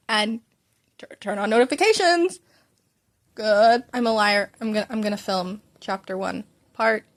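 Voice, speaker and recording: A woman narrating a story as an amateur reader, informal, often stumbling over words and correcting herself. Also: on the high side. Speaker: fan fiction reader and narrator.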